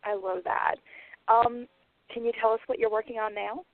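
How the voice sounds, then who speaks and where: A woman's voice: a little high, level tone, normal speed. A woman hosting a radio interview, putting questions to a guest. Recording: tinny phone-like audio, roomy echo.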